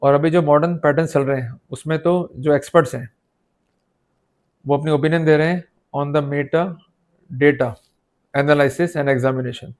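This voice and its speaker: A man's voice: on the high side, steady tone, unhurried, pauses often, darkish tone. A man with an Indian accent teaching law in an explanatory, didactic lecture style. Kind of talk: explanatory legal lecture mixing Hindi and English.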